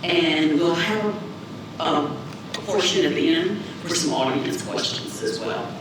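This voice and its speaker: A woman moderating a conference panel, pacing her introductions slowly and repeating herself. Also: deep voice. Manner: warm-up, slow, repeats herself. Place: conference hall. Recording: echoey hall, distant, background noise.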